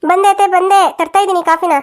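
A woman's voice: very high voice, flat delivery, fast talker. A woman voicing a polite, eager young village daughter-in-law in a cartoon, chirpy and deferential. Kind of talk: cartoon family drama dialogue.

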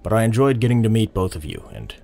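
An actor playing an earnest, guarded, weary police detective in an audio drama, dry and understated. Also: male voice, lowish voice, huge pitch swings, fast, gravelly, warm tone, exaggerated voice. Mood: businesslike. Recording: reverberant hall sound, music behind.

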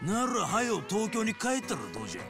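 An actor playing a hot-headed, menacing gangster boss in a video game, gruff and taunting with a Hiroshima Japanese accent. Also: male voice, sits high, huge pitch swings, a little fast, over music, exaggerated voice. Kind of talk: video game cutscene acting.

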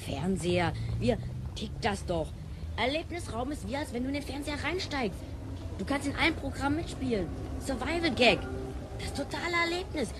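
Stubborn kid from the future, fairly high voice, bossy, emphatic, slangy, radio drama, noisy backdrop. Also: female voice, animated, moderate pace. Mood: boastful. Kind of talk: German radio play acting. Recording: clear background noise.

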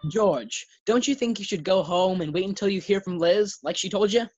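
A man playing a sensible friend with a husky voice and dry, sarcastic delivery in an old-time radio sitcom style. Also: sits high, normal speed, darkish tone, soft background music. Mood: dry, teasing. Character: dry, sensible male friend needling an overexcited pal. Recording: slightly dull, muffled sound.

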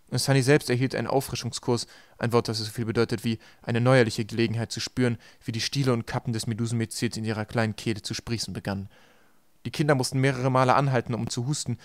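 A man's voice: medium pitch, dry recording. A man reading a children's novel aloud as scripted audiobook narration. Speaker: audiobook reader.

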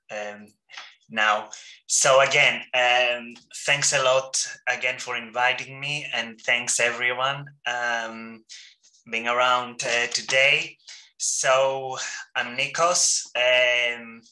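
A man's voice: medium pitch, fairly even intonation, slow, pushed and bright, roomy sound. A man in his thirties with a Greek accent, giving a friendly, joking academic talk over a video call with many ums and uhs. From Greece. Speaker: seminar speaker. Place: home room, indoors.

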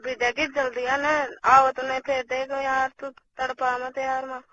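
Rajasthani woman, casual phone caller. Very sharp, forceful tone; lo-fi line with light noise. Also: sits high, little pitch movement, unhurried. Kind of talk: casual private phone chat. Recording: dull lo-fi phone call, background noise.

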